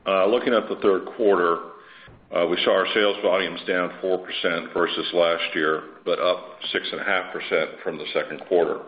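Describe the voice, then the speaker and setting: A man speaking as a chief executive, giving a formal, measured scripted earnings presentation over a conference phone line. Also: deep voice, fairly even intonation, echoey room. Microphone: conference call phone line.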